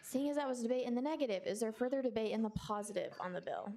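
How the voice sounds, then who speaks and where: A young woman chairing a student meeting, speaking in brisk, scripted procedural phrasing. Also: fairly high pitch, animated, dark tone, slight background noise. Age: young adult. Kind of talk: presiding over a meeting. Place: student senate meeting room.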